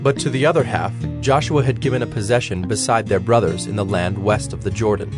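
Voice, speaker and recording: A man giving a measured, formal narration of scripture as the narrator of a dramatized audio production. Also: fairly low pitch. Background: instrumental music with plucked strings.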